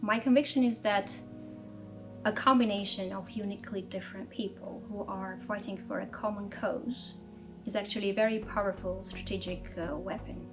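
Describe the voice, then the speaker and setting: A woman in her thirties from Armenia, telling her life story in a measured, reflective way in an interview, with frequent fillers and restarts. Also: mid-range voice, steady tone, over music. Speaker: interviewee, strategy director. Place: office.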